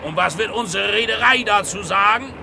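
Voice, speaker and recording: A man's voice: high voice, a little fast, gravelly, noisy background. An actor playing a put-upon ship's helmsman in a satirical radio play, exasperated in manner. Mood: doubtful, uneasy.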